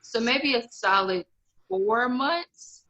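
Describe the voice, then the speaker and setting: A woman in her thirties talks openly as a guest author, circling back and restarting her thoughts as she goes. Her voice is bright and forward. It reaches us through a video call with dull, lo-fi livestream audio. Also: on the high side, expressive, slow. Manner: candid, rambling, many restarts. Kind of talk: casual interview chat.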